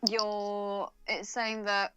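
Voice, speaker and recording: A woman's voice: mid-range voice, flat delivery, very slow, very noisy. A woman co-hosting a podcast, chatting casually with an informal, teasing manner. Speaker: podcast co-host. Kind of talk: casual bantering chat. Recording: dry, music behind the voice.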